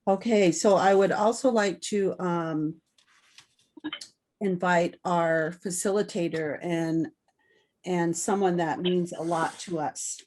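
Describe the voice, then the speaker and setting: A woman hosting a gathering, introducing guests in a soft-spoken, gently halting way. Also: on the low side, steady tone. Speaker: event host. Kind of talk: welcoming introductions.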